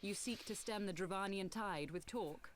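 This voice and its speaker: An actress playing a solemn noble rebel woman in a fantasy game, telling tragic history in formal, archaic language with measured delivery. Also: medium pitch, a little hiss or hum, close to an everyday voice. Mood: grave, challenging. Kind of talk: theatrical fantasy game acting.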